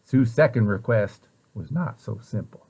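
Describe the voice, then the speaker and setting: A man reading a children's picture book aloud with expressive, deliberate storyteller phrasing. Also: fairly low pitch, creaky, husky.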